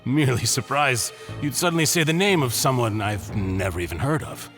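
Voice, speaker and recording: A man's voice: mid-range voice, animated, fairly quick, close to an everyday voice. Man acting a guarded suspect, swinging intonation. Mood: guarded surprise. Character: guarded male suspect feigning ignorance, outwardly calm. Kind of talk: dramatic video game acting. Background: background music.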